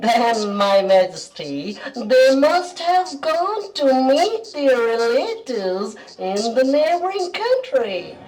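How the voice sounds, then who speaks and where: Woman voicing a high-pitched clever jester puppet. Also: sing-song, slow pace, hardly any pauses, darkish tone, full character voice, rough recording. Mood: sly, calm. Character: clever, polite male court jester puppet, calmly cheeky. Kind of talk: children's puppet show acting.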